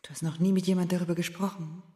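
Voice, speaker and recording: An actress playing a thoughtful young woman in love in a radio play, soft, probing and gently challenging. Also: lowish voice, little pitch movement, fast talker, roomy sound, long reverb tail, lightly acted.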